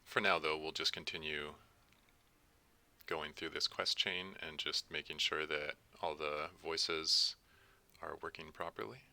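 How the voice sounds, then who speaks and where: A man talking casually as he hosts a screen-recorded software tutorial. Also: rumbling low, level tone, a little slow, vocal fry. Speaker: tutorial host, game developer.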